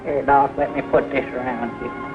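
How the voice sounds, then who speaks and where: An actor playing an excitable, simple-hearted rural sidekick with a Southern accent and folksy talk in a 1940s film. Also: male voice, mid-range voice, moderate pace, loud music behind the voice, natural voice. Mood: eager, agreeable. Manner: folksy exclamations, rustic grammar. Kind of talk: 1940s film comedy acting. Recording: muffled, noisy 1940s film soundtrack.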